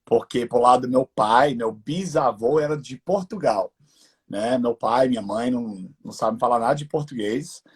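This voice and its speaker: An American man who learned the language as a teenager, chatting relaxed in a live interview and often adding "né". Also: mid-range voice, normal speed, slightly rough. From United States. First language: English. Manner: relaxed, laughing, tag questions with "né". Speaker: guest entrepreneur.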